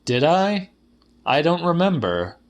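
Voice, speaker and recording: A man's voice: a little high, very animated, takes it slowly, voice pushed up. A man voicing an eager, insistent male acquaintance in dramatic audiobook dialogue. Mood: puzzled, evasive.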